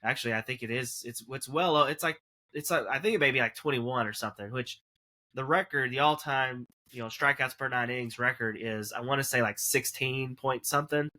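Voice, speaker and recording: A man's voice: medium pitch, a little fast, pushed and bright. A man co-hosting a casual college sports podcast, rambling through numbers and correcting himself as he goes. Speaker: podcast co-host.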